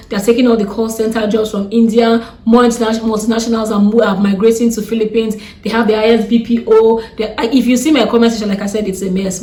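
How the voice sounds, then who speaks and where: A young Nigerian woman with a strong Nigerian accent, talking fast and bluntly in a ranting vlog, exasperated and defiant. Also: a little high, darkish tone, roomy sound. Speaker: vlogger.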